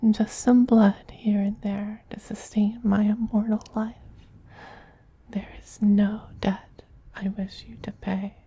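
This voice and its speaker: A man voicing a tender, possessive male vampire queen in a soft-spoken ASMR roleplay, in a slow, breathy, soothing whisper with gentle dominance. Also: high-pitched, no room sound, exaggerated voice. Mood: tender, reassuring.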